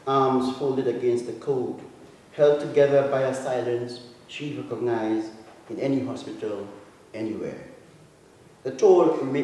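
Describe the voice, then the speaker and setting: A man reads literary fiction in a big echoing hall, picked up from some distance. His voice is mellow and soft-edged, and he phrases each passage deliberately, with dramatic pauses. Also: mid-range voice. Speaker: author reading own short story. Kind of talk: literary reading of prose fiction. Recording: echoey hall, distant mic, faint noise.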